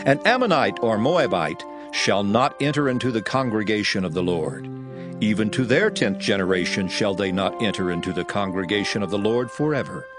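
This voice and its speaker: A man reading scripture aloud as a narrator, solemn and measured, in a dramatized style. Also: on the low side. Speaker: Bible narrator.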